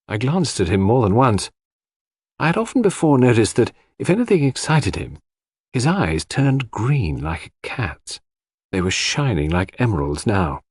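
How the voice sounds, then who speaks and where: A male narrator reads a detective audiobook. His intonation is lively and varied, and the recording is close and dry. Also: on the low side, husky, no room sound.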